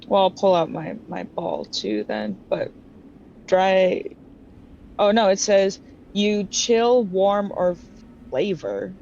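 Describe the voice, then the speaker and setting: A woman's voice: medium pitch, sing-song, takes it slowly, vocal fry, a little mellow. A woman chatting casually as a player in a tabletop game. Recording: dull muffled mic, faint noise.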